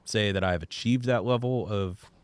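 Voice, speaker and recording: A man in his thirties from the Dallas suburbs with a low voice, a singer-songwriter guest chatting easily and poking fun at himself. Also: moderate pace, clear, resonant tone, no room sound. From suburbs of Dallas, Texas. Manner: easygoing, self-deprecating, many fillers. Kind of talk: casual conversation.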